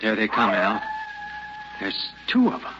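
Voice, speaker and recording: An actor playing a gruff, wary prospector father with folksy rural speech in an old radio drama. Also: lowish voice, huge pitch swings, a little slow. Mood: suspicious. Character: gruff, suspicious prospector father, rough frontier homesteader. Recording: muffled, noisy old radio recording.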